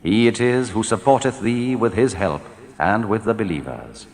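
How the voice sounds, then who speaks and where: Low-voiced man solemnly reading scripture, expressive pitch. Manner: measured, declamatory, with falling phrase endings. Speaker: reader of scripture translation. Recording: long hall reverb.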